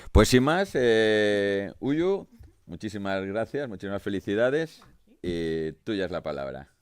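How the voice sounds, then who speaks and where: A man moderating a panel, introducing the speakers in a measured, listing way. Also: fairly low pitch, sing-song, clean tone, no echo. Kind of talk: formal event introduction.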